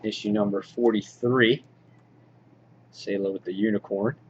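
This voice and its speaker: A man giving an informal, halting show-and-tell commentary as a hobby vlog host, in an understated way. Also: fairly low pitch, steady tone. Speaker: vlog host showing a comic book collection. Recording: dull lo-fi audio, clear background noise.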